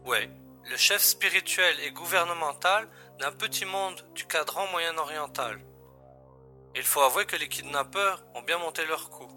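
Piercingly bright-voiced boss played by a man. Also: fairly high pitch, unhurried, soft background music. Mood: wry, explaining. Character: male boss briefing his agent, urgent and wry. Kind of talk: French science-fiction audio drama acting.

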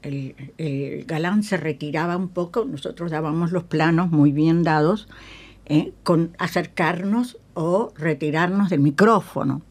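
Low-voiced older Uruguayan woman reminiscing. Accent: Uruguayan Spanish. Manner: emphatic, halting storyteller who repeats phrases and adds tag questions. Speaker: guest, veteran radio actress. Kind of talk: reminiscing in a radio interview. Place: radio studio.

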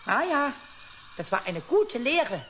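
A man's voice: very high-pitched, very animated, takes it slowly, fairly bright tone, heavily acted. A man voicing a cheeky, hot-tempered little male kobold in a children's radio play, boastful and gleefully threatening. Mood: gloating. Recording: 1970s radio play, some noise.

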